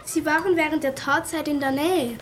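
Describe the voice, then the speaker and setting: A practical, inquisitive young girl detective in a children's radio play, acted by a female performer. She has a high voice in a clearly noisy recording. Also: steady tone, normal speed, close to an everyday voice. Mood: suspicious.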